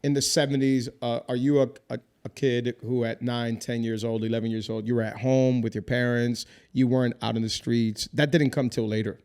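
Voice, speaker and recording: A man's voice: medium pitch, little pitch movement, fairly quick, hardly any pauses, clear, resonant tone. A man who is a lead pastor, hosting a casual interview, reflective and affirming, asking questions. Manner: warm, reflective, often affirms with "wow". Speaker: lead pastor and podcast host.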